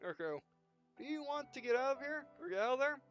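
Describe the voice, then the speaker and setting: A man with a fairly high voice reads game text aloud in a casual, stumbling way, voicing the punctuation too. The sound is dull and lo-fi, with clear game music underneath. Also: expressive, a bit bright. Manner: halting, reads punctuation and speaker names aloud. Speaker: let's player reading visual novel text aloud. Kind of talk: casual gameplay read-aloud with asides.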